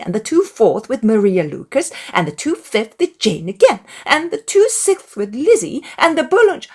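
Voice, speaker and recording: A woman voicing an excitable, gossipy middle-aged mother in a dramatized audiobook, chattering emphatically in run-on bursts. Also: mid-range voice, husky.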